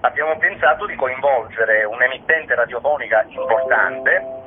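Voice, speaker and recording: A man's voice: medium pitch, moderate pace, music in the background. A man speaking as an artistic director, giving explanatory answers as a radio interview guest. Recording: noisy narrow phone line.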